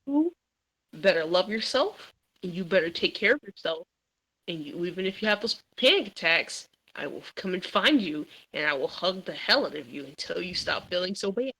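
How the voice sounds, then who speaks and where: A young woman hosting a casual podcast while gaming, with a loud voice, playful swearing and trash talk. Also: fairly low pitch, lively intonation, moderate pace, rough recording. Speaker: podcast host.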